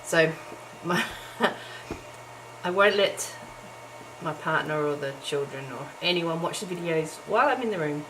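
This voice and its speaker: A middle-aged woman chatting casually while she crafts at home early in the morning, her voice croaky from just waking up, with lots of ums and small laughs. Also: sits low, lively intonation, unhurried. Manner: chatty and self-deprecating, with frequent ums and little laughs. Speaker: crafting vlogger. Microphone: camera's built-in mic. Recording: noisy home camera recording.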